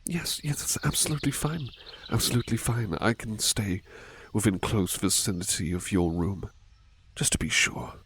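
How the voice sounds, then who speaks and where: A man playing a devoted, fussing servant in a soft-spoken ASMR roleplay, gentle and deferential, hesitating and repeating his reassurances. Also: lowish voice, moderate pace, breathy edge. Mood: reassuring, gentle. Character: devoted, fussing male servant tending a sick master. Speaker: roleplay performer.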